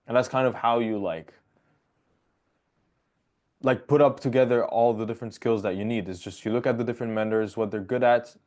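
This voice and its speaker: A young man hosting a casual podcast over a video call from his bedroom, talking in a loose, rambling way with quick jokes. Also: medium pitch, steady tone, a little mellow. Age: young adult. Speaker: podcast host. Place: bedroom at home. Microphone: video call webcam.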